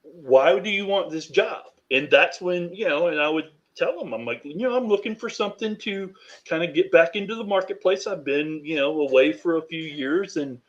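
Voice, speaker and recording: A deep-voiced man from North Carolina, a podcast guest speaking candidly and reflectively, with frequent "you know" fillers. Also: lively intonation, moderate pace, muffled recording. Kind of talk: candid interview conversation.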